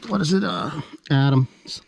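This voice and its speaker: A man's voice: on the high side, measured pace, creaky, husky. Agreeable, rambling man chatting about his hobby. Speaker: vlogger visiting a radio collector. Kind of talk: casual hobby chat.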